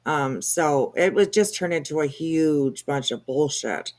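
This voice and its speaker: A woman co-hosting a casual commentary livestream, explaining things in a halting, rambling way. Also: low voice, animated, darkish tone. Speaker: livestream co-host.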